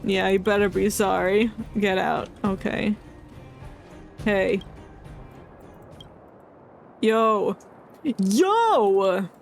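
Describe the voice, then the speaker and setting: Slow-paced, playful woman game streamer. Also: medium pitch, animated, gravelly, faint music behind. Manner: playful, mock-earnest asides. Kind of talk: casual reactive gameplay commentary.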